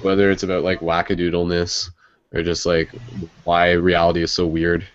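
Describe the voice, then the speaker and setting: A man's voice: low-pitched, monotone, moderate pace. A man hosting a casual hangout chat, rambling with lots of fillers. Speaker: host. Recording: dry dull lo-fi audio.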